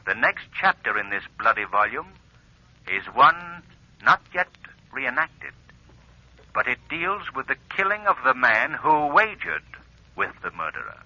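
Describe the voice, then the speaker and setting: Menacing crime fighter, 1940s radio mystery, very sharp forceful voice, animated pitch, dull old recording. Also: male voice, measured pace, dry recording, full character voice. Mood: stern, accusing.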